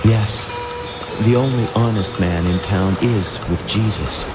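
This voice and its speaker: A man reading poetry in a grave, deliberate way. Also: on the low side. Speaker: poetry reader. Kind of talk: slow dramatic poetry reading. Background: music soundscape.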